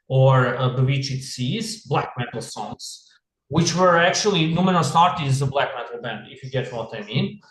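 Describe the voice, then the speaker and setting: A man from Serbia, answering interview questions in English over a video call, halting and full of "you know". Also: medium pitch, roomy sound, rough recording. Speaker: band member.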